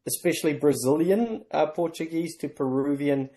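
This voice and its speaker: Mellow-voiced man. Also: fairly high pitch. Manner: measured, storytelling, light self-deprecating humor. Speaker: interview guest. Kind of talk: conversational interview.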